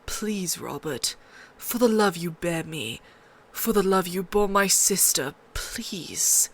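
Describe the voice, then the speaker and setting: A woman's voice: fairly low pitch, expressive, takes it slowly, slightly rough, exaggerated voice. A woman voicing a grave, weary, honourable lord in an audiobook, with a restrained manner. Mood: quiet pleading. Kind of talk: audiobook character voice.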